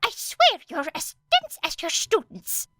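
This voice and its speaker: A woman voicing a fussy, scowling old lady nurse with a sharp, exasperated manner in an audiobook. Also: extremely high pitch, very animated, unhurried, no room sound, studio quality, pitched above the performer's own voice, heavily acted. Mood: scolding. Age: older. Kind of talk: audiobook character acting.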